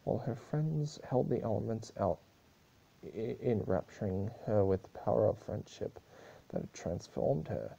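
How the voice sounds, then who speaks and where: A man reading a story aloud as a dramatic amateur narrator, halting now and then over the text. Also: fairly low pitch, lively intonation, gravelly, dark tone, husky, dull audio.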